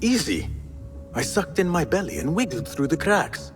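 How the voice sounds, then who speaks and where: Young assassin, purposeful, earnest, animated pitch, video game, music underneath. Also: male voice, normal speed. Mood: guarded banter. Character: earnest young male assassin, urgent and protective.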